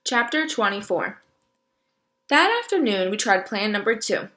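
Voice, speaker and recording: Woman, read-aloud storyteller, explanatory manner, strikingly bright tone, casual asides. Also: mid-range voice, very animated, a little slow. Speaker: reader commenting on the book.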